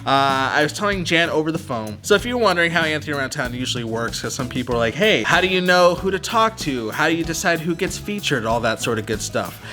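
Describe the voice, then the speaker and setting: An American man hosting a local web show, chatty and energetic as he introduces his guest outdoors. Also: on the high side, quick pace, hardly any pauses, soft background music. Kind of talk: upbeat interview host chat. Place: outdoors at a local museum, windy.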